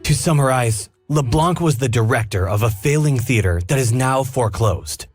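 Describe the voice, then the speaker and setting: An actor playing a calm, inquisitive detective in a game, reasoning aloud in a measured way. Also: male voice, mid-range voice, dry recording, soft background music, close to an everyday voice. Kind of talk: game cutscene voice acting.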